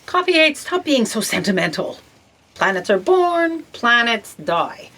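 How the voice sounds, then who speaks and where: She is a brisk, bossy sci-fi boss who counts coins before lives , acted by a woman. Her voice sits fairly high and swoops with emphasis. She stays callous and matter-of-fact, in the manner of a comic audio play. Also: a bit bright, full character voice. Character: brisk, callous, penny-pinching female boss of destiny. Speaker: voice actor in an audio drama. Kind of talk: comedic sci-fi audio drama acting.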